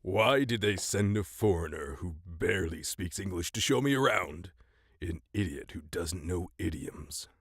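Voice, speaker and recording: A man's voice: low-pitched, lively intonation, measured pace, a bit bright, breathy edge, no room sound, exaggerated voice. A man playing an arrogant, gleefully evil ten-year-old boy genius in a comic audio drama, with a pompous manner. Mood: haughty, curious. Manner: pompous, coolly villainous.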